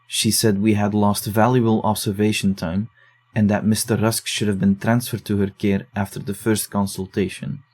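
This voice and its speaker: A man's voice: lowish voice, flat delivery, normal speed, few pauses, a little mellow, natural voice. An actor playing a thoughtful young man who reasons through case files in a reflective, analytical way. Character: thoughtful young male case-file investigator.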